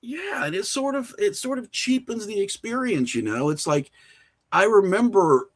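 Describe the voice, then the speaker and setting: A middle-aged man chatting casually as a podcast guest, telling animated stories and acting out quoted remarks. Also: high voice, moderate pace. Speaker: podcast guest, filmmaker and horror creator.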